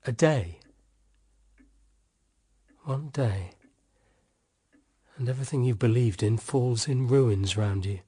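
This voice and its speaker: An actor with a British accent playing a weary, embittered husband in a radio drama, wry yet tenderly affectionate. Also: male voice, mid-range voice, takes it slowly, long pauses, dark tone, husky. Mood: bitter, despairing. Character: weary, embittered husband, tender toward his young sister-in-law.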